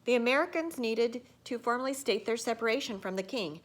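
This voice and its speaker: A woman reading a children's picture book aloud like a teacher, with expressive, theatrical storytelling. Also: a little high, a little fast.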